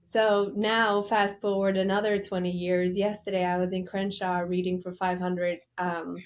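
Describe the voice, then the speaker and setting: A woman's voice: on the low side, little pitch movement, moderate pace. A woman from Norway, an author interviewed as a guest, telling her story with enthusiasm and loose fillers.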